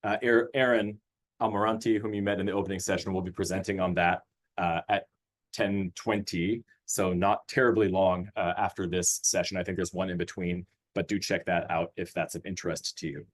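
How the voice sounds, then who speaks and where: A man from Austria walks teachers through a curriculum on a video call. His voice sits a little low, and he speaks in a friendly, unpolished way, often pausing on fillers and restarting his sentences. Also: steady tone. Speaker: curriculum presenter. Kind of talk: webinar presentation.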